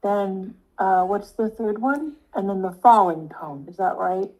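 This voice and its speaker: A woman's voice: medium pitch, unhurried, warm tone, low-quality recording. A woman student in an online class, repeating sounds after the teacher and asking short questions. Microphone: video call.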